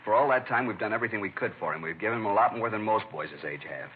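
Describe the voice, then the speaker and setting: A tinny, hissy vintage radio recording of a man playing a stern middle-aged American father. His voice is low and he talks quickly, with a hot temper and little patience left, in classic crime drama style. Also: expressive, lightly acted. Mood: angry, demanding. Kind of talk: old-time radio crime drama acting.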